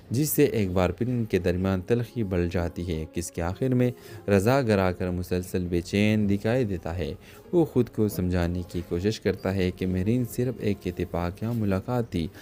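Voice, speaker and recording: A man's voice: low voice, warm tone. A man reading a scripted recap of a television drama as a review narrator.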